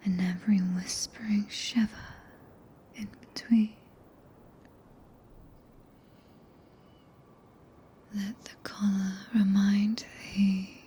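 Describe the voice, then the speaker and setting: A woman with a British accent playing a tender, possessive, regal witch in a soft breathy ASMR whisper, with archaic, stately phrasing. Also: medium pitch, slight background noise. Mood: possessive, soothing. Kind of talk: ASMR roleplay. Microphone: close binaural microphone.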